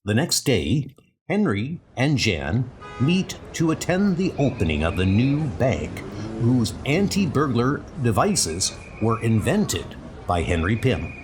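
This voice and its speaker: Casting: male comic book narrator, measured and dramatic, expressive pitch, music underneath.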